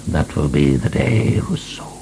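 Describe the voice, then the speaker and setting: An actor playing an imprisoned French marquis in a radio drama, an imperious, mocking, obscene libertine with a theatrical, grandiose delivery. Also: male voice, a bass voice, steady tone, unhurried, vocal fry, a little hiss or hum, heavily acted. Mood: gleeful, ranting. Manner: theatrical, grandiose, chuckling, swinging into shouts. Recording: echoey stone-room radio drama.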